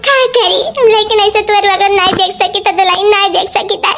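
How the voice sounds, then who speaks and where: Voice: extremely high pitch, fairly even intonation, brisk, noisy background. A performer voicing a tearful young married woman from an Awadhi cartoon comedy who misses her brother, whining and complaining at length. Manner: rambling, self-pitying complaints.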